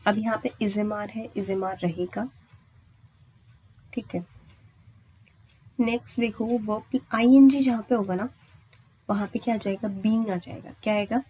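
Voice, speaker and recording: A woman's voice: medium pitch, a little slow, long pauses, dark tone, breathy edge, rough recording. An Indian woman teaching grammar step by step, repeating points and answering her own questions with frequent check-in tags. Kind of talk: exam-prep lesson at a board, explaining step by step.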